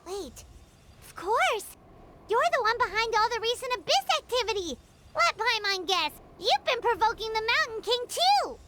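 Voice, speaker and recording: Tiny squeaky fairy girl. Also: big pitch swings, moderate pace, background noise. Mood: excited, accusing. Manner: squeaky, speaks of herself in third person. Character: tiny, excitable, indignant fairy companion girl, childlike. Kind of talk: video game voice acting.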